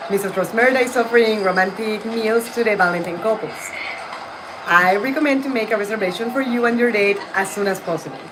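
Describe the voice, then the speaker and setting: A woman's voice: medium pitch, fairly quick, background noise, natural voice. A woman reading the lines of a helpful young male student in a plain, recited way. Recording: game music loud behind.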